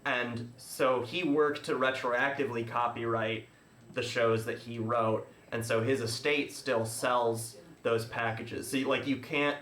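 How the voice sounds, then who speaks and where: A male podcast host chatting casually, explaining things in a rambling, halting way with frequent self-interruptions. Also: medium pitch, fairly even intonation, fairly bright tone. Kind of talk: casual chat. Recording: roomy, echoey room.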